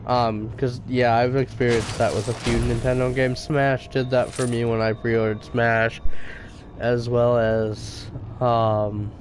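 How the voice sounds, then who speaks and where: A man's voice: mid-range voice, background noise. Stuffy-nosed young man streaming a game. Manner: rambling, chatty, swears at enemies. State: stuffy nose, raspy voice. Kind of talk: casual gaming commentary.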